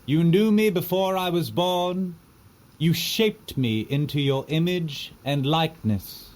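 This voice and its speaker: Slow, solemn clergyman, higher voice. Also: a little mellow. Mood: solemn, reverent. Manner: measured, reverent intoning. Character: solemn male clergyman intoning a burial rite. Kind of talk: audio drama acting.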